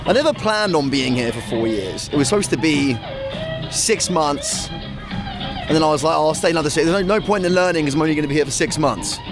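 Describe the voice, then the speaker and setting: A man's voice: on the high side, sing-song, a little fast, over music, poor audio. A British man talking in a chatty, self-deprecating way as he records a travel vlog out on the street. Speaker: travel vlogger.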